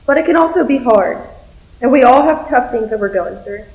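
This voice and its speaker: Young woman, fairly high voice, echoey room. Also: animated, a little fast, darkish tone. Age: young adult. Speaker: student performer. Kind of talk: scripted readers theater delivery.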